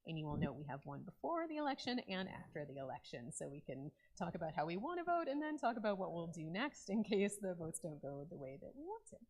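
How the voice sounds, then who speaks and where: A woman's voice: fairly low pitch, big pitch swings, fast talker, hardly any pauses, darkish tone, low-quality recording. A woman clergy member giving informal remarks to her congregation during a Shabbat service, talking conversationally with frequent ums. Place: synagogue sanctuary.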